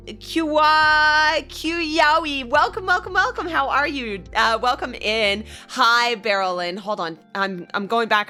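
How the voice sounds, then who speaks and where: A woman's voice: medium pitch, pushed and bright. A young woman streaming from home, chatting casually with viewers, greeting them by name and often breaking into giggles. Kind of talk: casual chat with live viewers. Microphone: desk mic, loud.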